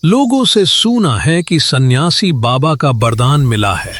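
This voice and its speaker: A man's voice: mid-range voice, big pitch swings, unhurried, a bit bright, a little hiss or hum. A man playing a greedy, scheming villager who puts on whiny pleas of poverty in a children's cartoon. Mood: covetous. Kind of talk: Hindi cartoon moral-tale voice acting.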